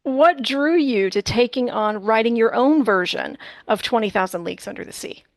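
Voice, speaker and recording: Female interview host, slightly high voice, casual and friendly, dry close recording. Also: normal speed, no echo.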